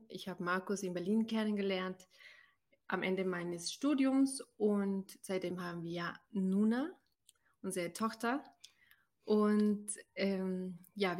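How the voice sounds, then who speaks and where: A woman from Ecuador with Spanish as her first language talks as a podcast guest. Her voice is of average pitch, and her style is casual and personal. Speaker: podcast guest, development worker. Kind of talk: casual self-introduction on a podcast.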